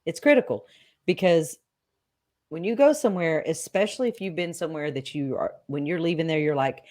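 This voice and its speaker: A woman's voice: low voice, a little fast, dark tone. A woman co-hosting a show, explaining things in emphatic, folksy, casual chat. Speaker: co-host of a paranormal show.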